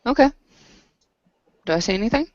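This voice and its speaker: A woman's voice: fairly high pitch, lively intonation, fairly quick, darkish tone, no room sound. A woman chatting casually as a player in a tabletop roleplaying game over an online voice chat. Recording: dry, dull lo-fi voice chat.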